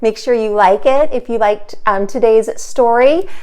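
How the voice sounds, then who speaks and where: A woman teacher hosting a children's storytime, reading aloud expressively with dramatic pauses and emphasis. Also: fairly high pitch, darkish tone, clear, resonant tone, poor audio. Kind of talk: animated children's read-aloud.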